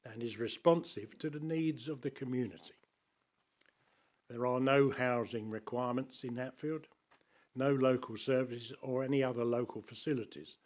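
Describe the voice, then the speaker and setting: A man, a councillor, reads a prepared objection to a planning committee in a measured, formal way with dry asides, in a council chamber. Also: medium pitch, darkish tone. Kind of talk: reading a prepared statement to a committee.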